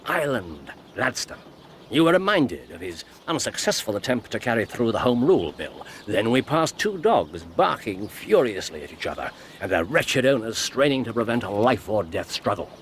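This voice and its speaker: An actor playing a keen, self-assured Victorian detective with an English accent and a precise, theatrical manner in a radio drama. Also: male voice, on the low side, big pitch swings, normal speed, slight background noise. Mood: teasing, assured. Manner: precise, theatrical, teasing deductions.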